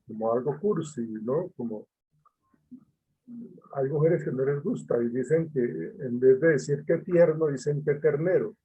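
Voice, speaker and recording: A man's voice: on the high side, mellow, a little hiss or hum, rough recording. A man, a teacher leading an online class discussion, hesitant with many fillers and repetitions.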